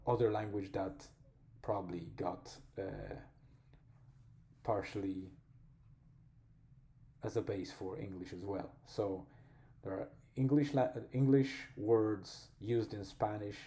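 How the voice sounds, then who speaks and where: A man with a Polish accent talks through a personal vlog in a low, very dark and mellow voice. He speaks slowly and thoughtfully, often stopping to search for the right word. The delivery stays calm and reflective throughout. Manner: slow, thoughtful, pausing to find words. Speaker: vlogger.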